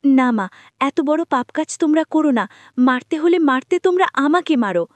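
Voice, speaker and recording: A woman's voice: high-pitched, lively intonation, normal speed, no room sound. A woman voicing a meek, sweet, hardworking girl in a cartoon, polite and pleading. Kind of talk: cartoon voice acting.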